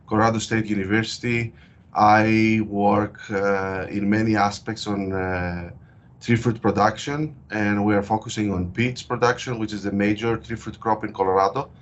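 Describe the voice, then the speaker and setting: A man with a Greek accent explains his work in a low voice, pausing often with hesitations as he thinks. The call audio is dull and lo-fi. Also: fairly even intonation. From Greece. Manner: frequent hesitations, thoughtful and explanatory. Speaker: guest researcher. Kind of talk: interview over a call.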